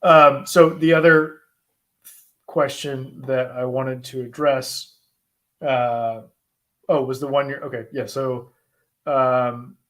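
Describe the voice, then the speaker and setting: A man with an American accent answering viewer questions in an informal, conversational way, thinking aloud with pauses as he explains. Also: medium pitch, steady tone, a bit bright. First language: English. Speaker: host, asylum lawyer answering viewer questions. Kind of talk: informal live Q&A, explaining law.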